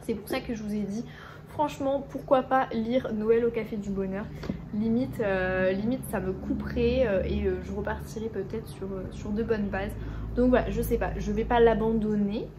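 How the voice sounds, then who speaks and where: A woman with a stuffy, hoarse voice from a cold, chatting casually in a book vlog and rambling with lots of hesitations. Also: mid-range voice, fairly even intonation, moderate pace, background noise. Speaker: book vlogger.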